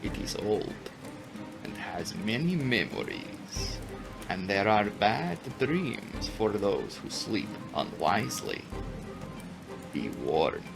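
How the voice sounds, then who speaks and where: An old vampire nobleman played by a male reader speaks with courtly menace in a gothic audiobook. He is slow, deliberate and ominous, swinging widely in pitch over loud background music. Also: big pitch swings, gravelly, exaggerated voice. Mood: grave warning. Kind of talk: dramatic audiobook reading. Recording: music behind the voice.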